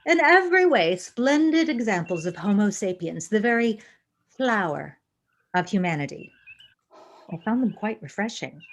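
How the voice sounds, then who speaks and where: A coolly logical female alien science officer, played by a woman in a sci-fi audio drama. She speaks in a mid-pitched voice with formal, measured precision and a deadpan, unemotional manner. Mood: dry, composed. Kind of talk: fan audio drama acting.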